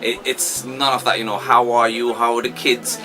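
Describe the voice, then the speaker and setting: A man's voice: medium pitch, little pitch movement, moderate pace, a crowd in the background, poor audio. A man in his forties from Trinidad, a shop owner giving earnest, rueful interview answers full of fillers. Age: 40s.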